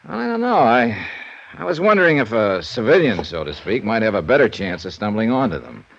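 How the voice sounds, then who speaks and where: A wry American investigator in old-time radio drama. The voice is fairly low and swings freely in pitch, casual yet probing. The sound is dull, like an aged broadcast recording. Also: male voice, very animated, a little fast, vocal fry, a little hiss or hum. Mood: probing, reasoning. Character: shrewd, easygoing male insurance investigator hero. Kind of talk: 1950s radio detective drama acting, dialogue and narration.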